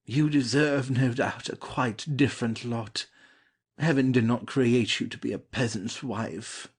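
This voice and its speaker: Man acting lovelorn suitor, plaintive, courtly, husky, medium pitch, audio play. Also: measured pace. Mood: flattering. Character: lovelorn, flattering young gentleman suitor. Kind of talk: classic stage comedy audio play.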